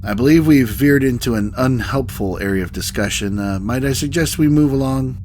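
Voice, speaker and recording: A man's voice: medium pitch, normal speed, a little mellow, a little hiss or hum, lightly acted. A man playing a stern, diplomatic starship captain in a sci-fi audio drama, speaking formally and with authority.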